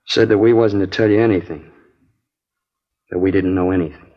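A man's voice: sits low, fairly even intonation, brisk, close to an everyday voice. An actor playing a plain-spoken, confiding male nurse informant in a 1950s radio drama. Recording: dull old radio recording.

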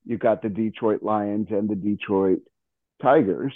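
Phone-line older American man, lowish voice. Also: unhurried, no room sound. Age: middle-aged or older. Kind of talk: casual chat. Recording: thin phone-like call audio.

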